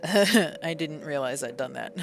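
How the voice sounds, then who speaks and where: A woman in her thirties with a low voice talks casually on a live stream and drifts from one thought to the next with amused laughs. Music plays clearly beneath her. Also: expressive, takes it slowly. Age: 30s. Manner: rambling, self-amused, laughs often.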